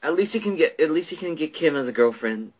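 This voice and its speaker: A young man chatting and joking casually with friends. His voice is husky and moves around in pitch with lively swings. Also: fast talker. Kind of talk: casual group chat on a voice call.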